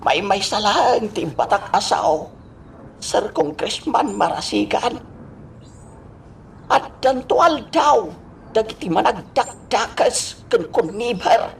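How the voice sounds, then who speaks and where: A man playing a dignified old villager in a radio drama, halting and earnest, with an Ilocano accent. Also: high-pitched, expressive, gravelly, slight background noise, exaggerated voice. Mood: firm. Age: older.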